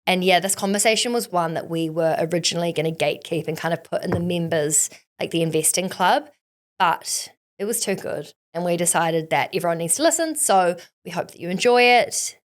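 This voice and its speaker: A woman's voice: lowish voice, a little fast. A woman hosting a podcast, chatting casually and informally with emphasis and plenty of "like". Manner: informal, emphatic, uses "like" often. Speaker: podcast host. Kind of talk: casual interview chat.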